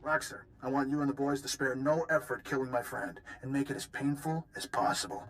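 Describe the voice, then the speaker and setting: A man's voice: medium pitch, a little fast, a bit bright, a little hiss or hum, close to an everyday voice, low-quality recording. A man voice-acting a vengeful, sardonic crime boss in a video game, menacing in an offhand way. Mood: sardonic menace.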